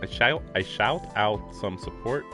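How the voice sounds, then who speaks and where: Chatty man with a lowish voice. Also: animated, unhurried, over music. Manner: chatty, laughs often, filler-heavy. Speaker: streamer. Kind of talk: casual reaction and reading a game aloud.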